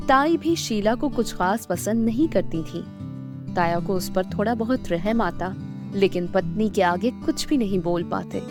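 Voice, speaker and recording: A woman reading a scripted children's story as the storyteller. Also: medium pitch.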